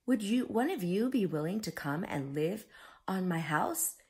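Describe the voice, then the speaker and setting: Here is a storybook hermit crab, played by a woman, earnest, polite and full of wonder. The pitch swings widely, the pace is slow and unhurried, and there is some creak in the voice. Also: animated, a little mellow. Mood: admiring, polite. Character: earnest, gentle hermit crab seeking a new home. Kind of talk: children's storybook character.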